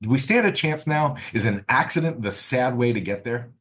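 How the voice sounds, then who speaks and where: A man hosting a podcast, talking analytically and building his points in a measured way. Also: mid-range voice, expressive, fairly bright tone. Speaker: podcast host.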